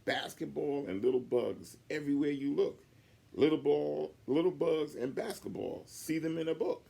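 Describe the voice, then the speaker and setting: A man's voice: a little high, normal speed, gravelly, mellow, voice pushed up. A man voicing a young schoolboy proudly reciting a silly rhyme in singsong storybook style.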